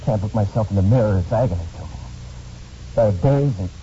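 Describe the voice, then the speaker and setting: A man acting in a 1940s radio drama as a bitter, disfigured former athlete who hides from people, brooding and halting. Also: on the low side, lively intonation, dark tone, lightly acted. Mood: anguished, bitter. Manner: brooding, self-pitying, halting. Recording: muffled, noisy 1940s radio recording.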